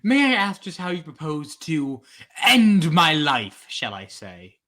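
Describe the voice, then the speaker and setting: A man's voice: fairly high pitch, huge pitch swings, measured pace, pushed and bright, full character voice. A man acting in an old-time radio drama as a wry, well-spoken radio actor who is easily flustered. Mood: defiant, composed.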